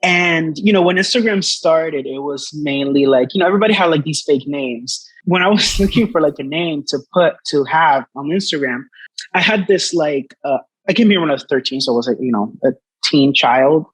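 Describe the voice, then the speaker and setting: A man's voice: a little high, moderate pace, husky, no room sound, low-quality recording. A man in his twenties from the Dominican Republic, a native Spanish speaker, chatting casually as an interview guest and often padding with "like" and "you know". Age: 20s. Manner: hesitant, frequent "like" and "you know". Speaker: artist guest.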